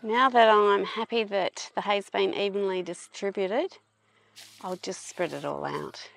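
A middle-aged woman narrating a farm vlog in a plain-spoken, unhurried explaining manner. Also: medium pitch, steady tone, vocal fry, rough recording. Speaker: vlogger, permaculture farmer.

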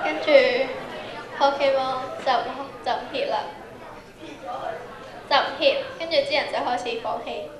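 Very high-voiced Hong Kong woman, echoey. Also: unhurried, pauses often. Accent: Hong Kong Cantonese. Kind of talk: improvised group storytelling. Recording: echoey auditorium, chattering crowd.

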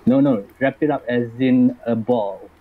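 A man player slowly describing his character's actions step by step. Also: mid-range voice, narrow, tinny audio.

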